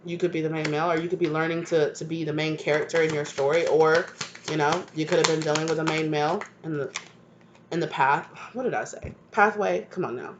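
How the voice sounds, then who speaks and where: A woman's voice: sits low, steady tone, a little fast, background noise. A woman with a stuffed-up voice from a cold, giving a channeled tarot reading to her viewers with affectionate asides and repeated "right?" tags. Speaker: tarot reader.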